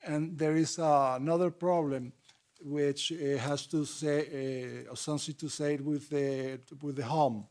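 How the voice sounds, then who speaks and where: A man with a Spanish accent giving remarks as a panel speaker in halting, repetitive, non-fluent English. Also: on the high side, fairly even intonation, darkish tone. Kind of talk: impromptu remarks at a public panel.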